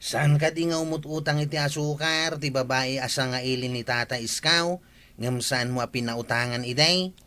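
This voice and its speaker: A man's voice: mid-range voice, steady tone, normal speed, sharp tone, lightly acted. A man with an Ilocano accent playing a needling village gossip in a radio comedy drama. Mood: teasing.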